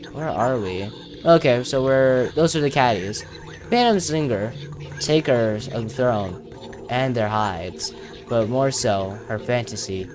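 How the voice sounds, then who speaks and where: A man's voice: medium pitch, noisy background. A man commentating casually on his own video game playthrough, thinking aloud as he plays.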